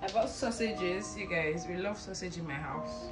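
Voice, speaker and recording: A woman with a Nigerian accent chatting casually in her home kitchen as a cooking vlogger, pausing with "um" as she recalls prices. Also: lowish voice. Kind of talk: casual on-camera chat. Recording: loud background music.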